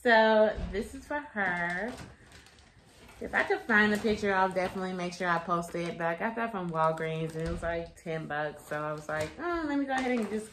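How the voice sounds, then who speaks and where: A young woman chatting casually and informally to camera, her voice a little raspy and tired. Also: lowish voice, fairly even intonation, normal speed, a bit bright, a little hiss or hum. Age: young adult. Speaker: vlogger. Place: small room at home, indoors.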